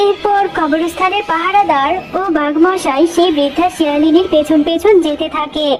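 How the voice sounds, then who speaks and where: High-voiced woman narrating a cartoon tale. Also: fairly even intonation, moderate pace, music in the background. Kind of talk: scripted cartoon story narration.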